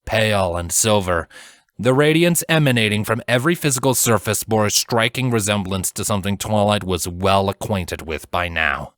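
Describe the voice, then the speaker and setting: A man narrating a dramatic fantasy story from a script in a measured, solemn storytelling manner. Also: fairly low pitch, a bit bright, no echo, clean studio sound. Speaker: audiobook narrator.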